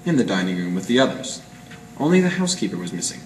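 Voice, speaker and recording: An amateur actor playing a hard-boiled 1940s private eye in a radio noir, clipped, deadpan and slangy. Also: male voice, fairly low pitch, fast talker. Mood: urgent, commanding. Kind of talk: 1940s radio noir acting with first-person narration. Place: live presentation in a roomy gallery. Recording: echoey room, distant mic, faint noise.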